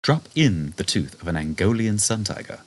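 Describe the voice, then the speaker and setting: A man's voice: lowish voice, big pitch swings, moderate pace, slight background noise. A man reading a comic novel aloud as a scripted storybook narration. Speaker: audiobook narrator.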